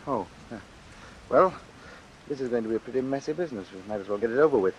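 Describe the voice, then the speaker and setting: An actor playing an unhinged killer in a vintage radio suspense drama, talking with casual, gleeful menace. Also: male voice, mid-range voice, animated, fast, natural voice, poor audio. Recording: hissy vintage radio recording, faint noise. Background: faint hiss.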